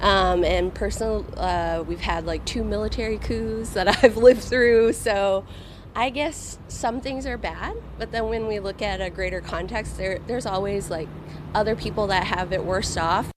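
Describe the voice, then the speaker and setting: A woman's voice: medium pitch, measured pace, noisy background. A woman from Thailand interviewed as a home buyer, talking casually with fillers. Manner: conversational with fillers. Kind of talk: interview answers.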